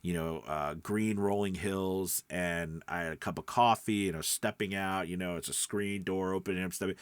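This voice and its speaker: A man's voice: sits low, fairly even intonation, moderate pace, smooth, dry recording. A man giving an informal tutorial as a voice-over coach, talking in a rambling, conversational way and often checking in with the listener. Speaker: voice-over coach and podcast host.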